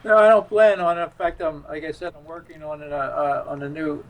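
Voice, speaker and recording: Man, somewhat high pitch, halting delivery, casual interview guest, searching for words. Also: steady tone, creaky, rough recording. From United States. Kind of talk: casual unscripted interview chat.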